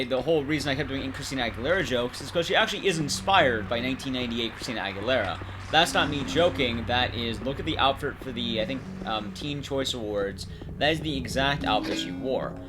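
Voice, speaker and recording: A man's voice: mid-range voice, brisk, noisy background. A man giving casual, analytical commentary on a video game as its commentator.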